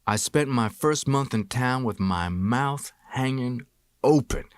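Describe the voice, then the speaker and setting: American guy reading a memoir audiobook, expressive and measured, mid-low pitch, super dry recording. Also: smooth, dry recording. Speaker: audiobook narrator. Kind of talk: scripted first-person memoir narration.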